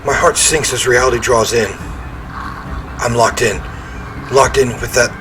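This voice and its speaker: A man's voice: mid-range voice, measured pace, noisy background. A man reading a visual novel aloud as he plays, with theatrical, emphatic narration and offhand reactions.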